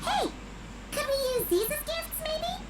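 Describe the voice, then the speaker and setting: A woman voicing a small, childlike floating game companion, squeaky, chatty, excitable and quick to get indignant. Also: heavy background noise. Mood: curious, chatty. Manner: excitable, exclaiming. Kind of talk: video game voice acting.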